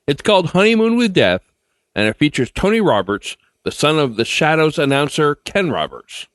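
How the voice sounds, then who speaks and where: Male podcast host for scripted intros, animated intonation, dry close sound. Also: on the high side, normal speed, no echo.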